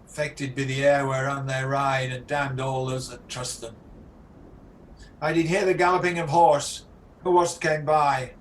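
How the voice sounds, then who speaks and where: A man's voice: medium pitch, fairly even intonation, a little slow, natural voice. A man playing a haunted, ruthless tyrant king in an amateur Shakespearean radio play, over a noisy video call. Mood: agitated, horrified.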